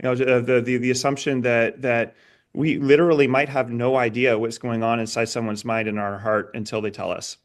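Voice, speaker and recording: A male interviewer with a mid-pitched voice in a conversational exchange. He sounds earnest and feels his way through sentences, doubling back on words as he thinks out loud. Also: steady tone. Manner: earnest, halting, repeats words as he thinks aloud. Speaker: interviewer, host.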